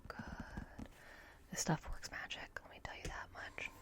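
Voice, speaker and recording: Whispering woman doing gentle ASMR roleplay. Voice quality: breathy whispering. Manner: gentle, reassuring, unhurried murmuring. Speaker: ASMR performer. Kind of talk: soft-spoken ASMR roleplay.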